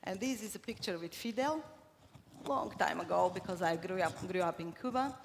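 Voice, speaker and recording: A woman's voice: on the low side, expressive, moderate pace, gravelly. A middle-aged woman from Bulgaria, speaking English as a second language and giving a conference presentation, with frequent fillers and restarts. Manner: hesitant, many fillers and restarts. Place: large conference hall. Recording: reverberant hall, faint noise.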